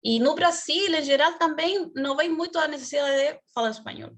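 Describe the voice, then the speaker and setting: Woman guest speaker, fairly high voice, measured and explanatory, on a video call. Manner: measured, explanatory, searching for words. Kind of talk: career talk in a webinar.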